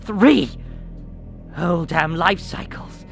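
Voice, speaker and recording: A performer voicing a weary, sarcastic, defiant damned soul in a dark fantasy audio drama. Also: medium pitch, slow, creaky, soft background music. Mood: anguished, weary. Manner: sardonic, dramatic, exasperated.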